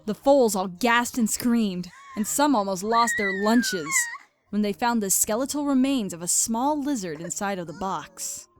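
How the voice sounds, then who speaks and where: A woman's voice: mid-range voice, expressive, unhurried, slight background noise. A woman reading scripted story narration.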